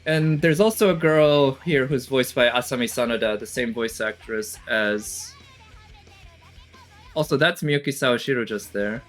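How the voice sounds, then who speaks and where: A man's voice: medium pitch, normal speed, fairly bright tone, faint music behind. A man giving casual, off-the-cuff commentary as a streamer, analytical and hesitant as he thinks aloud. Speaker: reaction streamer.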